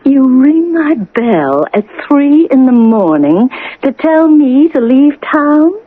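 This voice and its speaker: A woman's voice: high voice, sing-song, slow, phone-quality sound, heavily acted. An actress playing a proud, guarded small-town woman in a radio drama, giving dry, pointed retorts. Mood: indignant. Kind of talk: 1980 radio drama acting. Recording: band-limited old radio recording.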